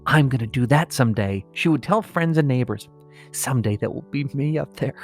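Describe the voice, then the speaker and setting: A man's voice: medium pitch, brisk, faint music behind. A middle-aged man co-hosting a comedy podcast, moving between mock-solemn reading and casual chat. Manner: breaks into laughter while trying to read gravely.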